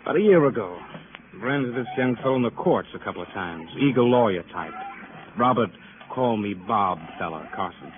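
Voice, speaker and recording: A man's voice: fairly low pitch, animated, fairly quick, close to an everyday voice. Man acting a streetwise cop on crackly old radio. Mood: chatty, streetwise. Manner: colloquial, clipped short sentences. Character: talkative, streetwise male police sergeant, wry and weary. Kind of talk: 1950s radio crime drama acting. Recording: noisy, narrow-band vintage radio recording.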